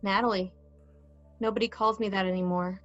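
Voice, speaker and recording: A woman's voice: mid-range voice, steady tone, fairly quick, fairly bright tone, faint music behind, lightly acted. A woman playing a haughty, scornful young rock-star ex in a table read, on dull lo-fi audio. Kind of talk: amateur screenplay table read.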